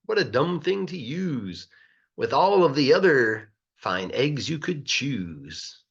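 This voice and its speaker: Animated man reading a rhyming storybook. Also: medium pitch, slow pace, slightly rough, highs cut off. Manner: animated, playful, rhythmic verse delivery. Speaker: English teacher reading aloud.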